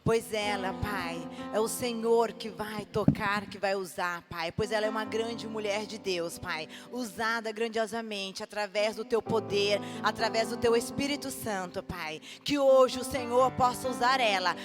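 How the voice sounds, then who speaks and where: A woman's voice: mid-range voice, fairly even intonation, measured pace, few pauses. A woman with a Brazilian Portuguese accent leading a fervent church prayer as a pastor, with repeated invocations. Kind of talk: congregational prayer. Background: prominent music.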